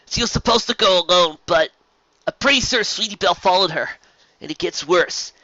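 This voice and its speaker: A man's voice: sits high, brisk, bright, forceful tone, no room sound, dull audio, voice pushed up. A man voicing a small, young male dragon who is anxious and guilt-ridden.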